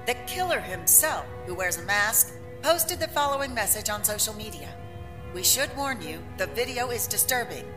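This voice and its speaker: An actress playing a serious news anchor in an audio drama, with formal, measured newsreading. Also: female voice, medium pitch, animated, close to an everyday voice. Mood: grave, urgent. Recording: clear music bed behind.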